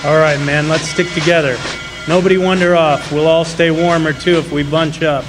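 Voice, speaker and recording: A man's voice: a little high, level tone, normal speed, music in the background, natural voice. An actor playing a protective, steadfast striking miner and father in a live radio drama. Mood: resolute. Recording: noisy live stage radio play recording.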